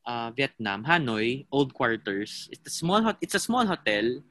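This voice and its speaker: Bright-voiced Filipino man. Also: mid-range voice, big pitch swings, normal speed, no echo. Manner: code-switching, storytelling with fillers. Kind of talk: casual chat.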